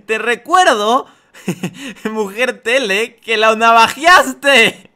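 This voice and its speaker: A man doing casual, excitable, jokey reaction commentary as a streamer. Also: very high voice, very slow.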